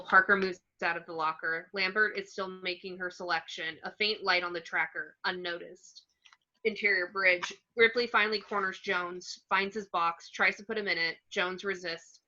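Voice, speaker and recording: Low, bright-edged woman narrator. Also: dull audio. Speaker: narrator reading action descriptions. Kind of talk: screenplay stage-direction narration.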